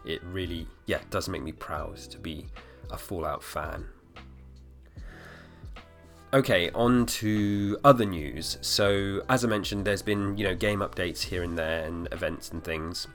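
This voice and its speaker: Male solo podcast host, 30s, very low voice, a bit hoarse, loose rambling delivery, faint music underneath. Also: a little slow, fairly bright tone. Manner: rambling, full of fillers and restarts. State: slightly hoarse. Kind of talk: casual solo podcast talk.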